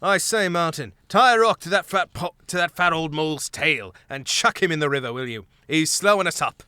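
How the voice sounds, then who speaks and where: A man's voice: fairly high pitch, fairly quick, pushed and bright, smooth, no echo, voice pushed up. A man voicing a cocky male mouse thief who speaks haughtily and jauntily. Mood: teasing.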